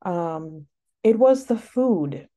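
A woman's voice: fairly low pitch, animated, drawn-out words, warm tone. A woman co-hosting a podcast in casual, rambling, frank chat, sounding a little sniffly. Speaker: podcast co-host.

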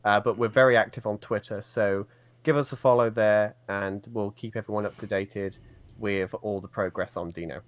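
A man's voice: fairly low pitch, steady tone, normal speed, dry recording. A man co-hosting a casual live-stream chat, easygoing with brief replies. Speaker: podcast co-host.

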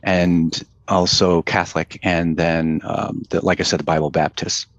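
A man's voice: deep voice, little pitch movement, unhurried, no room sound, highs cut off. A man, a guest in a casual interview, talking in a loose, rambling way with fillers and restarts. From United States.

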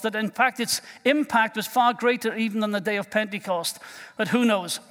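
A man's voice: high voice, fairly bright tone, long reverb tail. A man with a Welsh accent, a native Welsh speaker, preaching a sermon as pastor in a conversational way, often restarting his words. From Wales. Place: church sanctuary.

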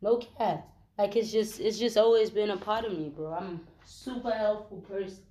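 A woman with a flu-roughened voice, talking casually to her viewers in a rambling vlog, full of slang and repeated fillers. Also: mid-range voice, moderate pace, warm tone. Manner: slangy, repeats fillers like "you know what I'm saying". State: hoarse from flu. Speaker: vlogger.

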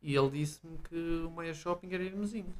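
Young man, fairly high voice, casual podcast chat, chatty, quick jokes. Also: a little mellow. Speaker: podcast co-host.

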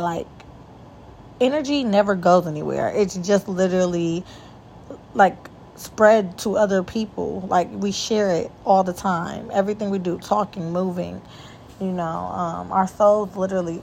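A young woman hosts a casual faith-based podcast. Her voice is raspy all the time, and she chats loosely and warmly with her listeners, often calling them "y'all". A faint hiss sits under the recording. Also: medium pitch. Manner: chatty, addresses listeners as "y'all". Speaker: podcast host.

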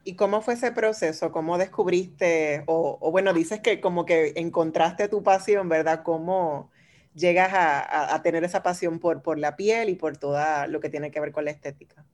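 Low-voiced Puerto Rican radio host woman. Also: normal speed. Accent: Puerto Rican Spanish. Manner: warm, conversational, frequent tag questions. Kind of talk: radio interview.

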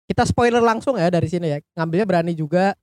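A man's voice: high voice, fast talker, dry recording, clean studio sound. A man with a colloquial Jakarta Indonesian accent hosts a casual film discussion in joking, informal slang. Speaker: podcast host.

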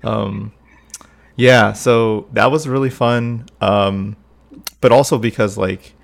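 A man hosting a podcast in a relaxed, chatty way. His voice is fairly low and has a gravelly edge. He talks loosely and fills his sentences with "like" and "um.". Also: slow pace. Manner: loose, many fillers like "like". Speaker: podcast host. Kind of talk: casual chat.

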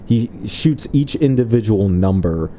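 Mellow-voiced man chatting about a movie. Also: lowish voice, expressive, measured pace, slight background noise. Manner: jokey, acts out characters in mock voices. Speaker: podcast co-host. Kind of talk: casual film discussion.

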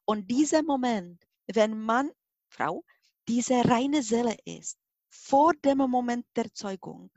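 A middle-aged woman from the Czech Republic speaks with a Czech accent in a fairly high voice. She tells her own story in an interview, halting to find words and then stressing them. The sound is dry, with hardly any room on it. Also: lively intonation, darkish tone, dry recording. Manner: halting, searching for words, emphatic. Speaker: interview guest, hypnosis and regression therapist. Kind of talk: spontaneous personal account in an interview.

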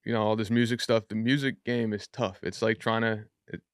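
Smooth-voiced man, relaxed and chatty. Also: on the low side, brisk, dry recording, studio quality. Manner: loose, chatty, frequent fillers. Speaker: rapper, podcast guest. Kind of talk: casual interview talk.

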